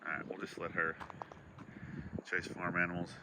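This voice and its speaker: Low-voiced man with a stuffy nose. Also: monotone, normal speed. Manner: easygoing, matter-of-fact, rambling. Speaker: vlogger. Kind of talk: casual walkthrough chat. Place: outdoors on a rural property. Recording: outdoor noise behind the voice.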